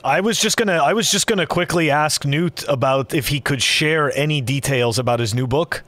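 A man's voice: fairly high pitch, moderate pace, a bit bright. A man co-hosting a podcast, asking a question in a rambling way with many stumbling repeats. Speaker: podcast co-host. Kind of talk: casual podcast chat.

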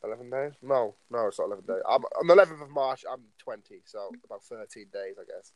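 A man's voice: medium pitch, lively intonation, fast, dry recording, poor audio. Rambling nineteen-year-old guy. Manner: rambling, leans on "like" and "obviously". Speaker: podcast co-host. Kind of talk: casual podcast chat.

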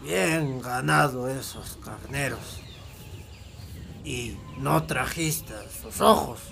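Slow, creaky, grim master marksman played by a man. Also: mid-range voice, a bit bright, slight background noise, full character voice. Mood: grave, instructive. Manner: slow, instructive, terse. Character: grim older master marksman, cold mentor of killers. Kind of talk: radio drama acting.

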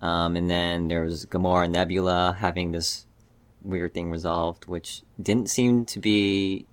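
Man, deep voice, casual podcast panelist, halting phrasing, dry recording. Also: steady tone, smooth, no room sound. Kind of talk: casual film discussion.

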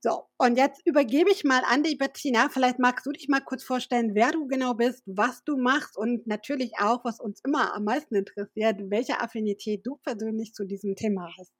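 A woman hosts her podcast in an upbeat, fluent manner. Her voice sits a little high and sounds dry and close to the mic. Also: fairly quick, hardly any pauses, no echo. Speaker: podcast host. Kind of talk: podcast interview.

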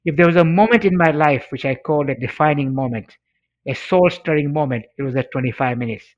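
A man's voice: on the high side, brisk. A middle-aged man from Tanzania, a native Swahili speaker, telling a personal story in an interview in an earnest, emphatic way, repeating phrases for effect. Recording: dull, lo-fi sound.

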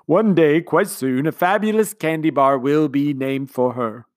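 A man's voice: fairly high pitch, a little slow, no echo, studio quality, voice pushed up, lightly acted. A man voicing a grandiose, sentimental middle-aged male commander who talks in long, flowery speeches. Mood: grandiloquent. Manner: long-winded, pompous speechmaking.

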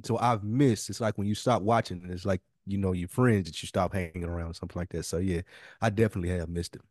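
A man's voice: low-pitched, steady tone, quick pace, a little mellow. A man co-hosting a podcast, chatting casually about TV in a laid-back, colloquial way. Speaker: podcast co-host. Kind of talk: casual chat about TV shows.

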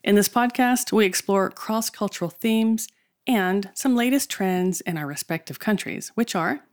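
A woman's voice: medium pitch, measured pace. A woman with an American accent co-hosting a podcast in casual, unscripted chat. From United States. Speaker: podcast co-host. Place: small makeshift studio behind a cafe.

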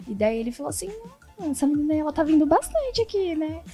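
A woman's voice: high voice, sing-song, fast, mellow, faint music behind. A Brazilian woman who produces comedy shows, talking casually and a little shyly as a podcast guest.

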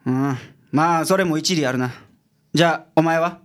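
An actor playing a blunt, gruff male detective in a radio drama, brusque and authoritative, with a Kansai accent. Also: fairly high pitch, moderate pace, natural voice. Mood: grumbling. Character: gruff, blunt male private detective, sharp-witted boss.

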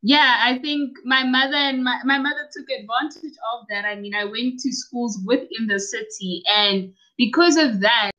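Young South African woman, guest on a casual podcast, slightly high, relaxed and giggly, muffled lo-fi audio. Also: normal speed, hardly any pauses. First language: Northern Sotho and Tsonga. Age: young adult. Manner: relaxed, chatty, laughs often. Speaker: guest, university student and podcaster. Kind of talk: casual interview chat.

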